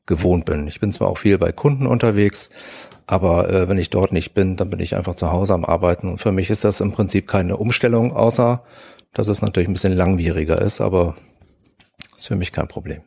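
A man from Bremen, a podcast guest, calmly talking about his motorbike. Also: on the low side, creaky, husky. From Bremen, Germany. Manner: calm, matter-of-fact. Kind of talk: casual interview.

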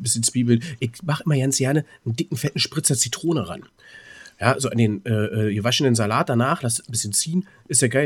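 A man, chatting casually and colloquially as a podcast co-host, with plenty of slang. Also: medium pitch.

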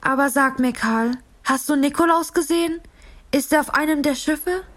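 A woman's voice: high voice, normal speed, a bit bright. A woman playing a frightened young girl in a radio play, urgent and pleading. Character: frightened young girl hiding, armed with knife.

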